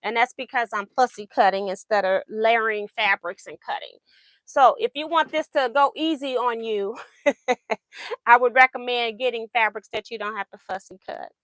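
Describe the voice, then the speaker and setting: A woman's voice: a little high, moderate pace, a bit bright, dry recording. A woman hosting a quilting livestream, chatting casually while she sews, laughing often and answering viewer comments, her voice going in and out.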